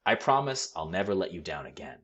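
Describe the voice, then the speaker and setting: A man's voice: low-pitched, sing-song, a little fast, fairly bright tone. A man voicing an eager, earnest young boy bandleader in a storybook, calling out his lines. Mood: earnest, determined. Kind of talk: storybook character voice.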